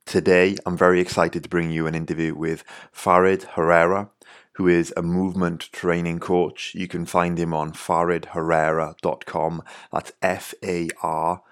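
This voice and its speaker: Welsh guy hosting a podcast, deep voice, pretty monotone, rambles with lots of you knows. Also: moderate pace, smooth. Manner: rambling, full of "you know" and restarts. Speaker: podcast host. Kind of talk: casual podcast intro monologue.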